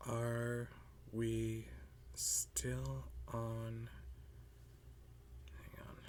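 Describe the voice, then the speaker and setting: A man playing a weary, jealous math teacher and best friend in a roleplay monologue, halting and muttering with a breathy voice. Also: mid-range voice, a little mellow, a little hiss or hum. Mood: hesitant. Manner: halting, muttering, frequent sighs. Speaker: audio roleplay performer. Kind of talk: slow roleplay monologue to the listener.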